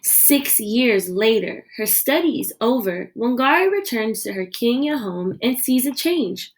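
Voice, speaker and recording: Higher-pitched woman reading a picture book. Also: lively intonation, measured pace, poor audio. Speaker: read-aloud reader. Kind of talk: expressive picture-book read-aloud.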